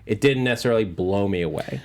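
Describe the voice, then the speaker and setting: A man's voice: lowish voice, quick pace, darkish tone. A man hosting a panel show, chatting informally and jokily in a loose, rambling way. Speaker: host of a movie news panel show. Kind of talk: casual panel discussion of comic-book films.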